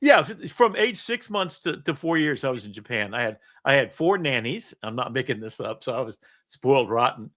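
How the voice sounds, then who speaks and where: A man's voice: medium pitch, moderate pace, few pauses. A middle-aged man, the host, telling rambling stories in casual chat, laughing often. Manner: storytelling, animated, laughs often. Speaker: podcast host.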